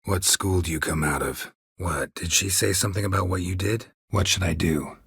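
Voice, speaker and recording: Grizzled game monster hunter, really raspy, super close dry sound, clipped deadpan lines, stoic and sarcastic. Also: male voice, low-pitched, moderate pace, dry recording, full character voice. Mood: dry, questioning. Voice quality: gravelly, husky rasp. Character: gruff middle-aged male monster hunter, stoic and sardonic. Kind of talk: video game character dialogue.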